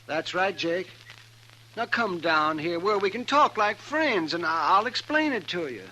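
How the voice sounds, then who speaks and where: An actor playing an anxious, kindly older country doctor of the American West, hesitant and fussy, in 1950s radio western style. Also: male voice, high voice, animated, measured pace, bright, forceful tone, full character voice. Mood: nervously placating. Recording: noisy vintage radio broadcast.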